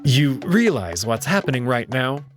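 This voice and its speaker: A man performs a confrontational young man from a visual novel. He is blunt and accusing, with animated pitch, and music plays behind him. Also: moderate pace, close to an everyday voice. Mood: accusing, tense. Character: fed-up, confrontational young man interrogating a suspect. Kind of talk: visual novel character acting.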